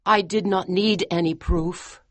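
An actress playing a devoted, anguished wife in a dramatised audiobook, earnest and theatrical. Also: female voice, medium pitch, lively intonation, unhurried, no room sound. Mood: resigned.

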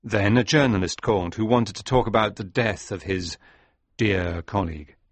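A man playing a sombre, reflective sailor recounting his past in a dramatised audiobook, speaking in a measured, grave way. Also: fairly low pitch, slightly rough, dry recording.

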